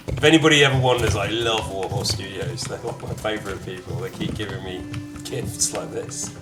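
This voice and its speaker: A man giving casual, playful commentary while playing a video game, chatting and gushing in asides. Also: fairly low pitch. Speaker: gamer commentating his play. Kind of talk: casual let's-play commentary.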